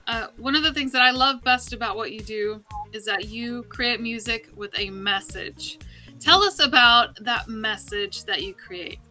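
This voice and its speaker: Very bright, high-voiced woman hosting. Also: unhurried, faint music behind. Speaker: talk show host, interviewer. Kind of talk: conversational interviewing.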